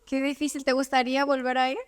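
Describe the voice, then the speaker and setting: A woman's voice: high-pitched, monotone, fast talker, clean tone, no room sound. A woman interviewing a guest in casual conversation, often backing her up with short "ujú" and "claro". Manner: frequent brief backchannels like "ujú" and "claro".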